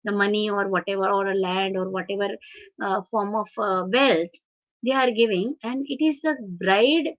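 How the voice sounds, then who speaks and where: A woman from India with an Indian English accent and Marathi as her first language, lecturing on translation. She talks haltingly, with many fillers and restarts. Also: mid-range voice, dull audio. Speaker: webinar speaker on translation. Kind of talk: lecture with audience questions. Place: video call webinar.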